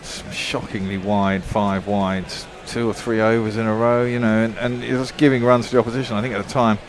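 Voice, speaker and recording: A man's voice: on the low side, little pitch movement, a little fast, slight background noise. A man commentating on cricket in casual chat, thoughtful and loose with filler words. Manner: thoughtful, lots of "you know" fillers.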